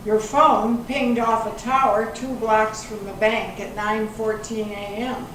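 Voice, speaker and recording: A woman's voice: a little high, little pitch movement, slow pace, a bit bright. Woman acting a terse investigator, very echoey hall. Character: terse, doubting female investigator. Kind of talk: amateur readers theater reading. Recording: very echoey hall, distant mic, noisy.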